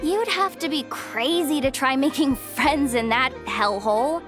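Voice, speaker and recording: A young actress playing a guarded, pragmatic young woman with a soft heart and a wry, casual way of talking in a video game. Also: sits high, unhurried. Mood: dismissive. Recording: studio voice over game music. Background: background music.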